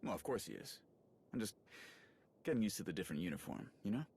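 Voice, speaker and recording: Casting: friendly sci-fi game slicer, chatty and wry, animated delivery on the lower side. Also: male voice, fast, breathy edge, exaggerated voice. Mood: casual, fond. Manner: casual, wry, rambling with asides. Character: eager, chatty male soldier and tech slicer, friendly and quippy. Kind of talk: video game cutscene acting.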